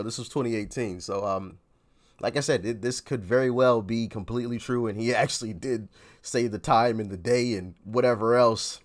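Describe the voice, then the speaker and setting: A man hosting a commentary channel, talking casually over news clips, often repeating words as he restarts. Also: fairly low pitch. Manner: rambling, repeats words, chuckles.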